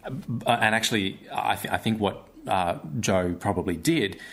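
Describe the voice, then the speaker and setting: Thoughtful, low-voiced man. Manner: thoughtful, halting, repeats words. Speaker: stage actor being interviewed. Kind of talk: casual interview chat.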